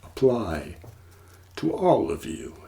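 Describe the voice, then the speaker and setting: A man playing a mysterious, courteous manor master and game host in a soft, partly whispered, formal ASMR roleplay voice. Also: medium pitch, wildly swooping pitch, creaky, exaggerated voice. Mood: ominous, cautioning. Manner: slow, deliberate, formal, long pauses.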